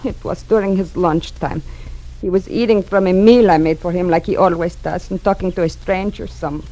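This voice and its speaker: An actress playing a worried, courteous Spanish mother in her sixties, speaking halting, formal English with a Spanish accent in an old radio drama. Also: lowish voice, fairly even intonation, dark tone, close to an everyday voice, rough recording. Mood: polite, worried. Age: 60s. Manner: halting, formal, searching for words. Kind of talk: 1940s radio drama acting. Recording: old radio broadcast recording.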